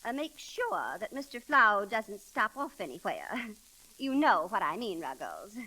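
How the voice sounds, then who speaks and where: Fussy, imperious, animated society wife. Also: female voice, mid-range voice, a little slow, exaggerated voice. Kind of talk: 1930s-40s radio comedy acting. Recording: vintage radio recording with sizzling crackle.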